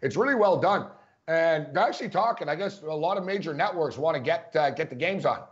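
A man's voice: fairly high pitch, lively intonation, a little fast. A man co-hosting a casual sports talk show, chatty, laughing often and full of fillers. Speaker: sports podcast co-host. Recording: dull lo-fi sound.

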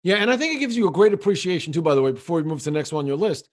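A man hosting a podcast, eagerly firing quick questions back and forth with his guest. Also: fairly high pitch, sing-song. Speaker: podcast host. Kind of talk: podcast conversation.

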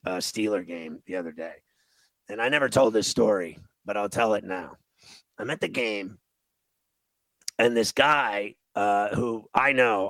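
A man's voice: lowish voice, a bit bright, dry recording, rough recording. A man, a radio host telling a long rambling, self-mocking story in a grating voice. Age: 50s. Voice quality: gravelly, grating voice. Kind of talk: storytelling monologue on a radio show.

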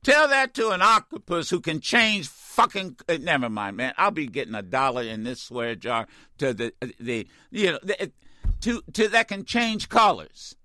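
A man hosting a talk radio show, ranting in an animated, exasperated way with many stammered restarts, his voice getting worn. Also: on the high side, normal speed, dry recording, studio quality. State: losing his voice. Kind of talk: opinionated talk radio rant.

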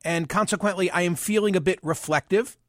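A man in his sixties, hosting his own show, musing in a measured, wry monologue. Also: high voice, dry recording, studio quality. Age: 60s. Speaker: podcast and radio host. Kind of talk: reflective personal monologue.

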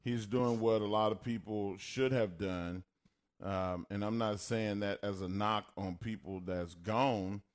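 A man's voice: fairly low pitch, fairly even intonation, measured pace. A man with a Texas country accent, co-hosting a casual podcast chat and telling stories in an easygoing way. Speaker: podcast co-host.

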